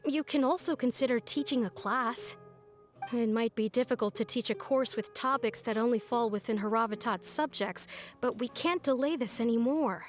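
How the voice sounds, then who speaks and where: A woman acts out a formal, stern female university official from a video game. The voice is high, measured and insistent. Faint music sits behind it. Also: close to an everyday voice. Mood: insistent, weary. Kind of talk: video game character voice acting.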